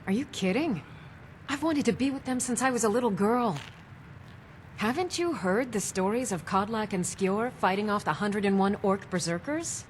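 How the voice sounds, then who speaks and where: An actress plays a young female warrior recruit in a fantasy game. She sounds earnest and admiring, at a medium pitch. Faint noise sits under the game audio. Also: expressive, normal speed, natural voice. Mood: excited, admiring. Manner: earnest, starry-eyed. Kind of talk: video game character dialogue.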